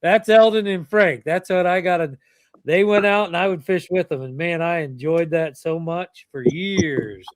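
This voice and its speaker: A man's voice: a little high, moderate pace, no echo. A middle-aged man hosting a casual family chat, telling rambling stories and chuckling as he goes. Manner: rambling storyteller, chuckles often. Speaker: channel host.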